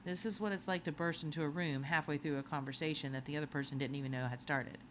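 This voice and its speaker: Low-voiced woman reading a story expressively. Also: fast talker, slight background noise. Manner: expressive storytelling with acted dialogue. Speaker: audiobook reader. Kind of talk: scripted narration of a children's novel, read aloud.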